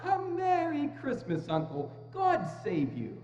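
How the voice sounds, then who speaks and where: Woman as cheerful, high-voiced young nephew. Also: huge pitch swings, slow pace, mellow, soft background music, heavily acted. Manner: earnest, buoyant, pleading. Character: cheerful, good-hearted young nephew of an old miser. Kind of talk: theatrical dramatic reading. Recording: echoey hall, dull sound, faint hum.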